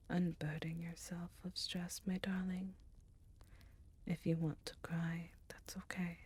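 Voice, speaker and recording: A woman voicing an ancient succubus queen, tender and soothing, in a soft, breathy, intimate ASMR roleplay. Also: lowish voice, slight background noise. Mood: soothing, hushed. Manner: slow, intimate, reassuring.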